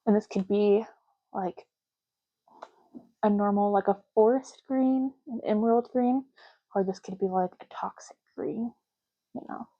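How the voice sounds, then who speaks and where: A woman doing a soft-spoken ASMR roleplay as a clerk, explaining options in a casual, chatty way. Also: medium pitch, measured pace, pauses often, lo-fi sound. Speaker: ASMR creator playing a clerk making ID cards.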